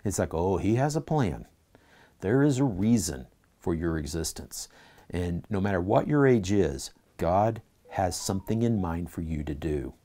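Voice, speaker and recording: A man's voice: low-pitched, animated, a little slow, mellow. A man teaching a church Bible class, reading scripture aloud and putting earnest questions to his listeners.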